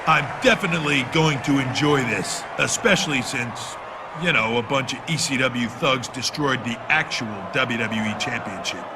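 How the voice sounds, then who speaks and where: A man's voice: medium pitch, normal speed, close to an everyday voice. A man playing a confident champion wrestler, giving a scripted acceptance speech with dry, self-assured humor. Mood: wry, proud. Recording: noisy game capture with background ambience.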